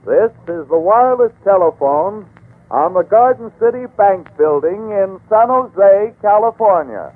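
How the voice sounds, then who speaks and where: Pioneer radio announcer, high-pitched, phone-like transmission, 1940s drama. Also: male voice, expressive, a little slow, no echo. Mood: proclaiming. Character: pioneer wireless broadcaster announcing over the air. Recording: thin, phone-like vintage radio.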